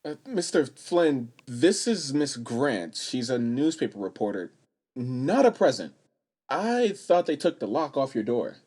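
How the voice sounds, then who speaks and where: A man's voice: mid-range voice, big pitch swings, measured pace, a little mellow. A man playing an earnest, polite, easily shocked young man in a radio play, proper and pleading.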